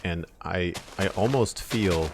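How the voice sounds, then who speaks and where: A young man with a deep voice chatting casually on a live stream, thinking aloud as he builds, into a desk mic at home. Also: slow, background noise. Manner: rambling, thinking aloud, counts blocks under his breath. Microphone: desk microphone with headphones.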